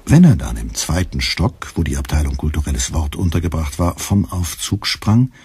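An actor narrating a literary satire in a measured way for a radio play. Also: male voice, extremely low pitch, very animated, a little mellow, breathy edge, no echo, exaggerated voice.

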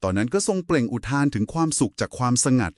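A man's voice: medium pitch, very animated, quick pace, a little mellow, dry recording, studio quality. A man giving a polite, didactic explanation in a conversational discussion of Buddhist scripture. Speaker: explainer in a two-person discussion.

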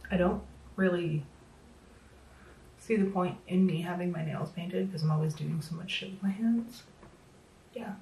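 A woman's voice: on the low side, vocal fry, a little mellow. Thirties woman rambling thru a makeup tutorial, kinda losing her voice, echoey room, faint hum behind. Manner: chatty, self-deprecating, filler-heavy. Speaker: makeup vlogger. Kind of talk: casual makeup tutorial chat. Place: small home room, indoors. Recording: roomy echo, a bit distant from the mic.

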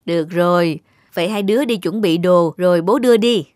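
A woman voicing a gentle father in a cartoon, explaining things patiently to young daughters. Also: fairly low pitch, brisk, no echo, clean studio sound, lightly acted. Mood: patient, questioning. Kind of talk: acted cartoon dialogue.

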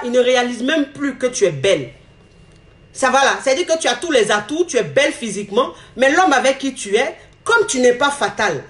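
A woman, a relationship coach speaking to her phone camera with emphatic repetition and a slightly hoarse, cold-touched voice. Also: sits high. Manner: emphatic, repeats phrases, gestures a lot while talking. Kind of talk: animated advice monologue to camera. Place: indoor room. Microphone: phone camera at arm's length. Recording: echoey room on phone.

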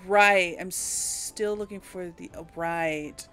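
Slow-paced woman musing over a game. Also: lowish voice, steady tone, fairly bright tone, smooth, faint music behind. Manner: musing aloud, hesitant deliberation. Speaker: let's play host. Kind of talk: casual gameplay commentary.

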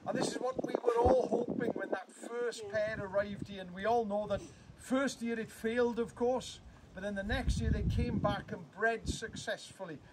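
A man's voice: sits high, steady tone, normal speed. A middle-aged Welsh man, a native Welsh speaker, giving a chatty, joking speech outdoors on a wooden platform, heard from a distant camera. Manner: chatty, joking, self-interrupting. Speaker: guest opening a bird hide. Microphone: far camera. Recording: distant outdoor recording with loud noise.

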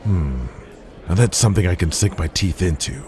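An actor playing a ruthless, controlling wrestling promoter in an audio drama, speaking in a cold, lecturing manner. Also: male voice, deep voice, animated, moderate pace, darkish tone. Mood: scheming.

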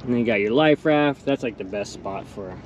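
A man's voice: lowish voice, expressive, fairly quick, darkish tone, a little hiss or hum. Chatty, enthusiastic man hosting a boat tour. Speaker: host. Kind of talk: casual interview chat on a boat tour. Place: sailboat, on open deck and in the wooden cabin. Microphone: off camera, behind a handheld camera.

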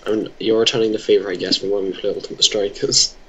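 A young man with a fairly low voice banters over a strategy game, teasing himself and yelping now and then at the action. Faint keyboard clicks tick along behind him. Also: fast, a little hiss or hum, low-quality recording. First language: Welsh. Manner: jokey, self-mocking, bursts of excited exclamations. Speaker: gamer. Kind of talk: casual gameplay chat.

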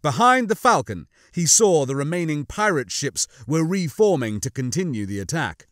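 A man's voice: a little high, very animated, normal speed, a bit bright, no echo, clean studio sound. A man giving a dramatic scripted narration of a science fiction audiobook.

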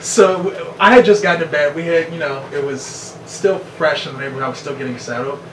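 A man's voice: on the high side, fairly even intonation, a little fast. An American man in his thirties, casually telling a story to camera as a vlogger. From United States. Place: small bedroom indoors. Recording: roomy echo, distant mic, noisy background.

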